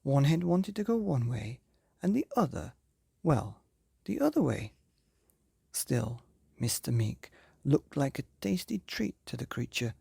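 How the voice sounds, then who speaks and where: A man tells a children's bedtime story as a podcast host. His voice is husky and swings widely in pitch, theatrical with drawn-out words and hushed phrases. The sound is close and dry. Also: no echo.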